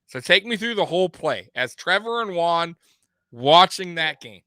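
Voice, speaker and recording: A man's voice: fairly high pitch, expressive, moderate pace, bright, forceful tone, no echo. A man in his forties from Miami hosting a casual sports talk show, rambling with many restarts. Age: 40s. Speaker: podcast host.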